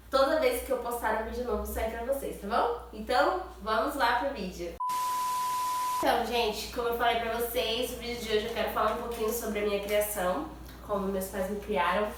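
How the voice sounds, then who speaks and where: A woman's voice: fairly high pitch, steady tone, fairly quick, a little hiss or hum. A Brazilian woman vlogger with a nasal, stuffy voice from a cold, chatting casually to her viewers and often adding little tag questions. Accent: Brazilian Portuguese. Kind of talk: casual chat to camera. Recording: very echoey room, far from mic.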